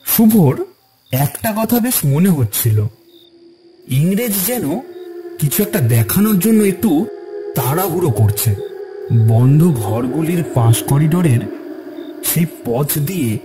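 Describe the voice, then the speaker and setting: A man's voice: on the high side, lively intonation, a little slow, pauses often. Male horror story narrator over music. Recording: clear music bed under narration.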